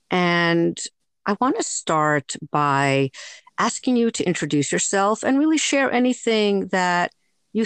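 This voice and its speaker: Slow-talking woman interviewer, low voice, feels her way through long questions. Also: smooth, no room sound. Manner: measured, searching questions. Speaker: podcast host.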